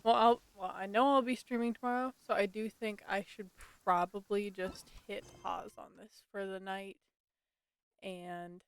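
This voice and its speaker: A woman's voice: mid-range voice, steady tone, a little slow, smooth. A tired woman chatting casually as she live-streams a game on a headset mic.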